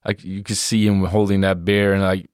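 A man in casual chat as a comedian guest, packing his talk with fillers and quick agreement. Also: low voice, little pitch movement, a little mellow, smooth, no room sound, studio quality. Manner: filler-heavy, eager agreement.

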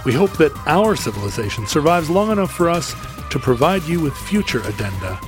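A man's voice: on the high side, big pitch swings, brisk, music in the background. Dry-humored man co-hosting a chat. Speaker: podcast co-host. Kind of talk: casual chat with mock-formal outro.

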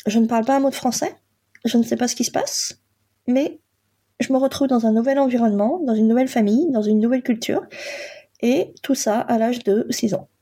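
A Polish-born woman in her forties who is a coach, telling her own story in a halting, reflective way with frequent pauses. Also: a little high, mellow. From Poland. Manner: halting, many pauses and fillers. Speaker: guest, coach and psychotherapist. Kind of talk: reflective personal testimony.

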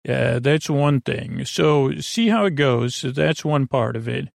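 A man with a creaky voice hosting a sleep podcast, giving a soothing, rambling monologue that hesitates and drifts into tangents. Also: medium pitch, measured pace, no echo, studio quality. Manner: meandering, hesitant, trailing off into tangents. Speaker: podcast host.

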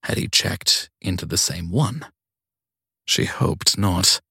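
A man with a fairly deep, husky voice narrates crime fiction as an audiobook. The sound is close and dry, with no room around it. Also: animated, slow, bright, forceful tone, dry recording.

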